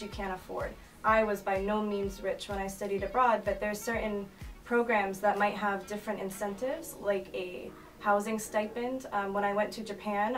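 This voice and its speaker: A young woman from Massachusetts, an office manager, explaining study abroad in an earnest interview manner with occasional fillers. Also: medium pitch. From Massachusetts, USA. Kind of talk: documentary interview. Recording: distant mic with music underneath.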